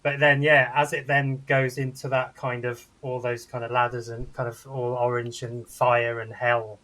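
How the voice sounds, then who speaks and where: A man's voice: medium pitch, a bit bright, rough recording. A man chatting casually and enthusiastically as a co-host reviewing films, in a rambling way. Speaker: podcast co-host and film reviewer. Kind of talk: casual film review chat.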